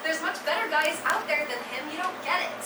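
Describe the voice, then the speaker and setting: A woman's voice: sits high, brisk, lightly acted. An actress playing a bossy, scheming, sarcastic teenage queen bee in a live staged musical reading. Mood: indignant, scornful. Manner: haughty, sarcastic. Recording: echoey, distant, loud room noise.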